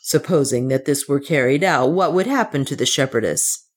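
A woman voicing a troubled, protective male adventurer in a storybook reading, speaking low and hoarsely. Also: expressive, moderate pace, heavily acted.

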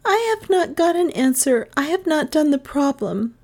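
A woman narrator voices a timid little girl in a children's storybook, honest and ashamed. Her voice is lifted high, meek and a little halting. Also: very animated, a little mellow. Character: ashamed, slow-thinking little schoolgirl, timid but honest. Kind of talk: children's audiobook character voice.

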